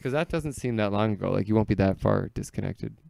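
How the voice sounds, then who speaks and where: Low, smooth-voiced American man chatting. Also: fast, darkish tone, no echo. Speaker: podcast speaker telling childhood stories. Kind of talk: casual comedic chat.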